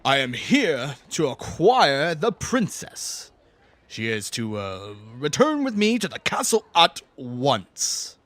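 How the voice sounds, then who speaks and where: A man playing a pompous, scornful high priest in an audiodrama, haughty and commanding in manner. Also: wildly swooping pitch, slow pace, fairly bright tone, no echo, heavily acted. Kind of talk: fan audiodrama acting.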